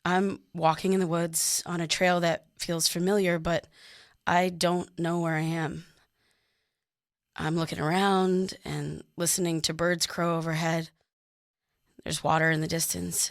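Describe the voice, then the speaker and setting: A woman's voice: low voice, no echo, clean studio sound. A woman playing an exhausted, uneasy woman in her thirties narrating a confessional fiction podcast. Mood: reflective. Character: exhausted, uneasy young woman recording her dream diary.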